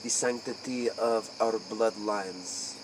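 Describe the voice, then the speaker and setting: A man playing an aloof, ancient aristocratic vampire count in a spoof interview, with an Eastern European accent and halting, pompous broken English. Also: on the low side, steady tone, a little hiss or hum, rough recording. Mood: haughty. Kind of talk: improvised spoof interview in character.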